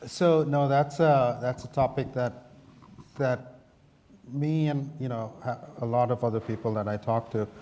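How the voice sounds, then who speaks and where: Panelist, male, mid-pitched, measured and hesitant. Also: steady tone, warm tone. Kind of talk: panel discussion.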